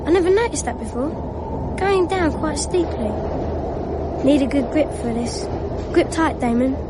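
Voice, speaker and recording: A woman's voice: high voice, moderate pace, very noisy, close to an everyday voice. A woman playing an anxious, naive schoolboy with a childlike, urgent delivery in a radio drama. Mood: tense excitement. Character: anxious schoolboy, Jasper, who rides on train tops.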